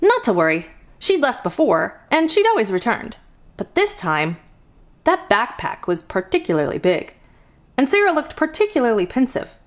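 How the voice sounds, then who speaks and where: A woman hosting a children's storytime, reading a picture book aloud in an expressive, deliberate storytelling manner. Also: a little high. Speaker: children's storytime host and reader.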